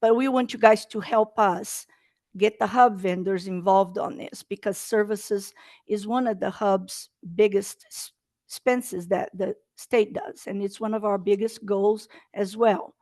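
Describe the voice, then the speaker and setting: A woman's voice: medium pitch, steady tone, a little slow, darkish tone, no room sound. Brazilian lady running a webinar, earnest, little slips in her english, dry close mic. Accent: Brazilian Portuguese. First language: Portuguese. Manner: earnest, talks in non-native English with slight slips. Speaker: state procurement presenter. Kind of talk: presenting a webinar.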